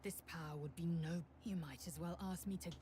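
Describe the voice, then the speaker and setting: A woman's voice: fairly low pitch, steady tone, brisk, mellow, slight background noise, close to an everyday voice. An actress voicing a proud, stern female warrior zealot in a video game, with a clipped, disdainful delivery. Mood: insulted. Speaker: game character.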